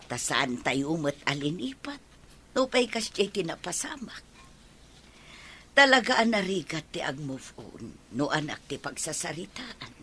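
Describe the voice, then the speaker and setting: A woman playing a caring, excitable elderly mother in an Ilocano radio drama, with an Ilocano accent and motherly, exclamatory delivery. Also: sits low, slight background noise, heavily acted.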